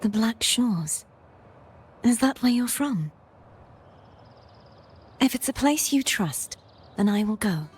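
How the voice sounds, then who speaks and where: An actress playing a solemn, dutiful young female warrior in a video game, speaking in a formal, measured way. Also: a little high, expressive, a little hiss or hum. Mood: curious, trusting.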